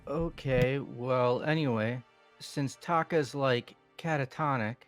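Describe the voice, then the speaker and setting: A man's voice: mid-range voice, slow, faint music behind, natural voice. A man playing a laid-back young man awkwardly taking charge in a fan-voiced visual novel, casual and slangy. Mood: casual, upbeat.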